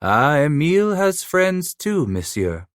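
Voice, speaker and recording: A man's voice: on the high side, very animated, slow pace, clear, resonant tone, dry recording, studio quality, pitched above the performer's own voice. A man voicing a giant, scarred frontier killer who speaks broken English, mocking and menacing. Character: giant scarred French-Canadian frontier killer, cunning, playful.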